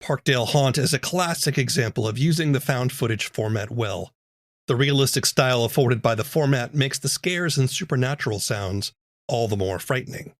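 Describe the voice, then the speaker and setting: A man's voice: mid-range voice, dry recording. A man hosting a podcast, reading scripted narration. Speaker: podcast host.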